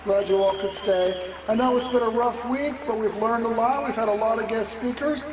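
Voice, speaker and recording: A man's voice: extremely high pitch, moderate pace, far from the mic, background noise. A man voicing a polite, chatty puppet host making announcements at a paranormal convention in improvised comedy. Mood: cheerily official. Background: music.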